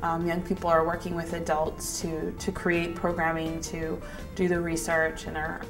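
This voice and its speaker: Woman explaining a history, lowish voice. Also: completely flat delivery, normal speed, music in the background.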